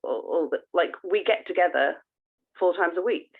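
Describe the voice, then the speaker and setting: A woman co-hosting a podcast, chatting informally and explaining things with halting emphasis. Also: fairly low pitch, expressive, slightly rough. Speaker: podcast co-host. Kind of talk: casual podcast chat. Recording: thin phone-like call audio.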